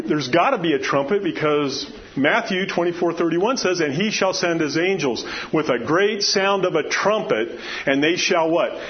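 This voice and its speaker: A man with a loud, carrying voice teaching scripture as a preacher in an informal, conversational and emphatic way, often posing questions to his listeners. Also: a little high. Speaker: preacher teaching a Bible study. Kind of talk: informal sermon with scripture readings and personal asides. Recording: dull lo-fi sound, clear background noise.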